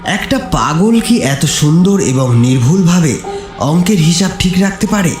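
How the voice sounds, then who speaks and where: Male narrator for dramatic horror audio story; animated intonation, echoey sound over music. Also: fairly high pitch, a little slow.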